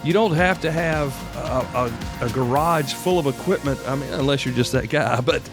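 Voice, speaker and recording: A man's voice: mid-range voice, normal speed, over music. An American man of about fifty hosting a podcast solo, talking casually in a folksy, rambling, reassuring way. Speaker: podcast host.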